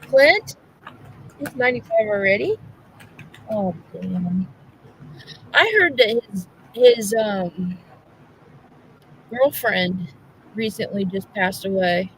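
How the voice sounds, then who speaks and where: A woman's voice: mid-range voice, animated, takes it slowly, pauses often, fairly bright tone, a little hiss or hum, low-quality recording. A woman raised in Kansas hosting a livestream, chatting casually and stumbling over words as she reads out facts. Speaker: livestream host. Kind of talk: casual chat.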